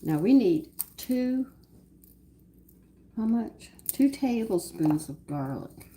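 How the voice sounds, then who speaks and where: A woman hosting a live cooking stream, hoarse from losing her voice, chatting homily to viewers as she walks through each step. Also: fairly high pitch, very animated, takes it slowly, dark tone. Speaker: cooking show host. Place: home kitchen. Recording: noisy home kitchen live stream. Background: clinking dishes and cutlery.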